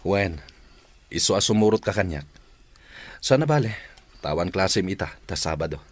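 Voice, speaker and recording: A man's voice: low-pitched, lively intonation, normal speed, dry recording. A man playing a humble, respectful working son and brother in an Ilocano drama. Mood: reassuring. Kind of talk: Ilocano audio drama acting.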